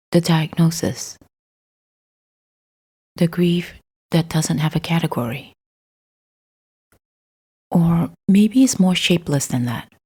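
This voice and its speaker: A woman with a low, dark voice leads a guided spiritual meditation. She speaks very slowly and deliberately, in short phrases with pauses between them. The sound is soft, breathy and husky. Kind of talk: scripted guided meditation, gentle spiritual talk.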